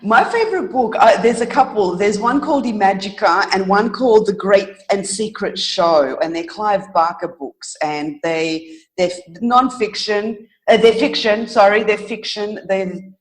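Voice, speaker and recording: A woman answering interview questions in a casual, reflective way, earnest and halting, pausing to think and correcting herself. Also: mid-range voice, expressive, rough recording. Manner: earnest, halting, thoughtful pauses with self-corrections. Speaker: interview guest, author.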